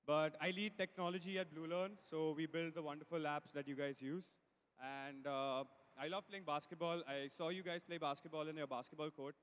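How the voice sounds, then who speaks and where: A man's voice: on the high side, fairly even intonation, moderate pace, clean tone. A man, casually introducing himself as a panelist. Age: 20s. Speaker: technology lead, panelist. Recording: reverberant hall microphone.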